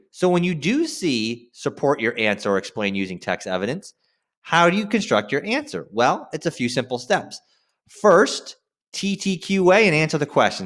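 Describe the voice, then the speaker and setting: Male teacher with very animated pitch. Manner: informal, directive, emphasizes key phrases and checks with "okay?". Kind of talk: instructional screen-share lesson addressed to students.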